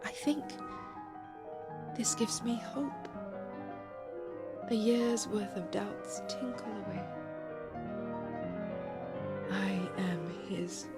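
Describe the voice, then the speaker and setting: An actress playing a heartbroken, anxious young woman in an audio drama, speaking intimately and deliberately, as if confessing. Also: medium pitch, over loud music. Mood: aching, intense. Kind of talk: audio drama monologue and dialogue.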